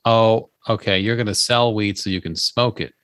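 A man whose first language is Farsi co-hosts a podcast, needling his partner with dry questions. His voice sits lower than most men's. The recording is close and dry. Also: steady tone, normal speed, a bit bright, no room sound. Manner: teasing, questioning, dry humor. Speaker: podcast co-host. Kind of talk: casual chat.

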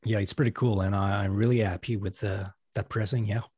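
A man's voice: lowish voice, fairly even intonation, brisk, darkish tone, husky, no room sound, highs cut off. A man from Quebec with a French Canadian accent, French as his first language, chatting hesitantly as a guest musician with many fillers and restarts. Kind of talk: casual podcast chat.

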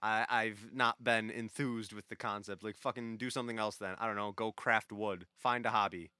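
A man's voice: fairly low pitch, steady tone, moderate pace, bright, forceful tone, smooth, no echo, clean studio sound. A man co-hosting a music podcast, blunt and sarcastic, grumbling about what he dislikes. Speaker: podcast co-host. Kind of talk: casual chat.

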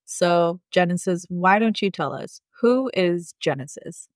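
A man's voice: sits high, expressive, a little slow, no echo, clean studio sound. A man hosting a podcast, asking loose, encouraging questions in casual chat. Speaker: podcast host. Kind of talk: casual interview.

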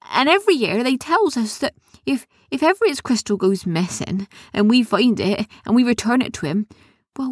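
A woman's voice: high-pitched, no room sound, studio quality, pitched above the performer's own voice, heavily acted. A woman voicing a group of small, sneaky gnomes who whimper and wheedle in rustic grammar.

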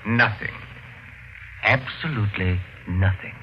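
An actor playing a cool, mocking ventriloquist in an old radio thriller, taunting with measured menace. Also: male voice, on the low side, slight background noise. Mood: cold. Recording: muffled old radio, music behind.

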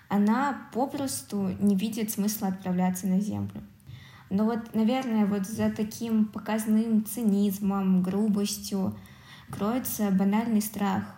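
Female podcast co-host, mellow and mid-pitched, measured and reflective, roomy echoing sound. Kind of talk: film discussion on a podcast.

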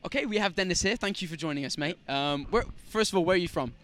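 A man's voice: high voice, fast, a bit bright. Chatty young male street interviewer. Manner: chatty, filler-heavy, encouraging. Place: outdoors on a city street.

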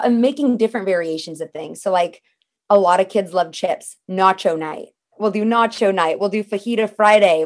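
A woman guest in a casual interview, talking in a chatty, practical way and walking through things step by step. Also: medium pitch.

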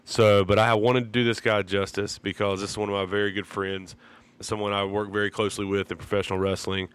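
A man with a low voice that is froggy and congested from a sinus infection hosts a podcast. He talks in a relaxed, rambling way, apologizing now and then. Under the congestion the tone stays smooth and full. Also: a little fast. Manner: laid-back, rambling, apologetic. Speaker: podcast host. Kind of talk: casual podcast intro and chat.

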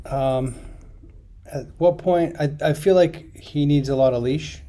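A man's voice: a little high, a little slow, dark tone. A man co-hosting a casual sports podcast, laid-back and hesitant with fillers. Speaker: podcast co-host.

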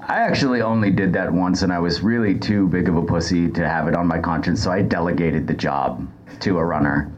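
A man's voice: sits low, fairly quick, lo-fi sound, slight background noise. A man chatting casually on a podcast, telling anecdotes in a crude, profane way. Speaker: podcast guest, service worker.

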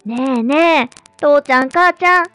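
A woman playing an expressive, homely member of a retro household in a radio drama, speaking colloquially. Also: high-pitched, normal speed, no echo. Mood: perky. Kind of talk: Japanese radio drama acting.

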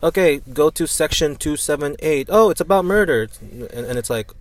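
A man's voice: a little high, animated, normal speed. A young man with a Filipino accent, a criminology student chatting casually, rambling with lots of fillers and restarts. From Philippines. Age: young adult. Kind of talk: casual chat.

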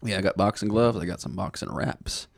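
A man's voice: deep voice, steady tone, a little fast, creaky, dry recording. A teenage boy, a guest on a casual podcast, teasing and laid-back as he chats.

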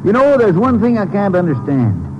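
Folksy small-town sheriff, vintage radio. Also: male voice, sits high, huge pitch swings, normal speed. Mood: puzzled, conversational. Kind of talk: old-time radio crime drama acting. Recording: muffled, noisy vintage radio recording.

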